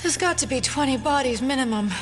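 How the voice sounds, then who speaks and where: A woman's voice: sits high, animated, quick pace. An actress playing a shaken but defiant female FBI agent in an audio drama, serious and hesitant. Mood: shaken, subdued. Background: dramatic music.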